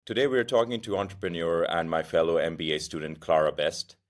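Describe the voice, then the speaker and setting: Deep-voiced young male podcast host. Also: steady tone. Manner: measured, question-led interviewer. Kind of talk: interview hosting.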